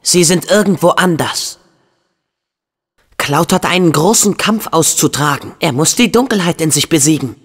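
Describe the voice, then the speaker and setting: Earnest teenage boy hero, animated. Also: fairly high pitch, normal speed, husky. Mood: calm, curious. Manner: youthful, emphatic, expressive delivery. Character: earnest, hot-headed teenage boy hero with a loyal heart. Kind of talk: dubbed video game acting.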